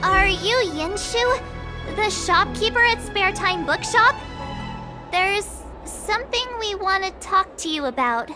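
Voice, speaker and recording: Sassy, very high-pitched girl adventurer. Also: a little slow, over music. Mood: businesslike. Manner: sassy, expressive. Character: spirited, assertive young girl adventurer. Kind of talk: video game character dialogue.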